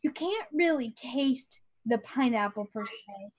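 A woman's voice: high-pitched, lively intonation, unhurried, slightly rough. A woman panelist on a video call, presenting a recipe in casual, explanatory talk. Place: bedroom at home.

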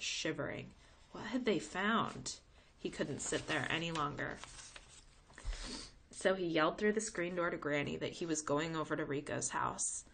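A woman reading a children's chapter book aloud with expressive storybook narration. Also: low voice, vocal fry.

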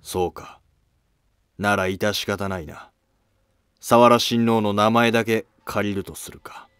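A man's voice: lowish voice, fairly even intonation, slow, a bit bright, smooth, dry recording, studio quality. A man playing an ailing, wary old noble who masterminds plots, with terse archaic speech, in a history drama. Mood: terse, scheming.

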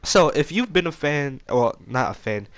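A man's voice: on the high side, big pitch swings, moderate pace. A young American man telling a personal story in a casual, rambling gaming-video voice-over, with slangy, exasperated phrasing. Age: young adult.

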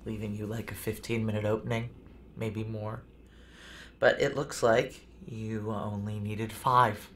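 A woman's voice: very deep voice, level tone, measured pace, a little hiss or hum. An actress playing a tough, guarded, suspicious woman on the run in a noir audio drama, speaking in clipped, hard-boiled phrases. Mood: cold, accusing. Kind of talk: noir audio drama acting with first-person narration.

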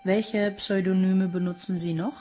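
A woman's voice: medium pitch, steady tone, unhurried, mellow, faint music behind, natural voice. Stern female police interrogator played by an actress. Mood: stern, probing. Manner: clipped, probing, stern. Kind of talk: German crime radio play acting.

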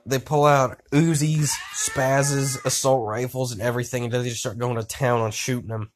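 A man telling an improvised story to friends in a casual, crude and excitable way, repeating himself. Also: mid-range voice, normal speed. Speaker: amateur storyteller. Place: indoors at home. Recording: noisy amateur handheld recording, clicks.

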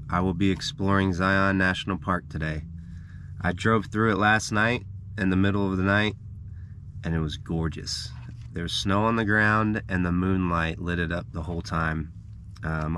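A man in his thirties casually narrating a camp breakfast to the camera from inside a parked van, chatty and relaxed. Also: low voice, slight background noise. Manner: relaxed, chatty, walks through each step. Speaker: travel vlogger. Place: inside a parked van, small enclosed space. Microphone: camera mounted in the vehicle.

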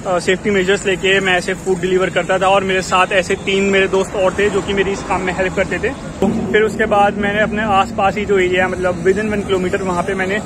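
A man's voice: high-pitched, flat delivery, fairly quick. A young man from the Delhi area vlogging outdoors, talking earnestly and loosely in a casual mix of Hindi and English with fillers. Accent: Hindi-English mix, Delhi region. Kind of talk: casual vlog talk to camera. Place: outdoors by a roadside cafe. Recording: loud traffic noise outdoors.

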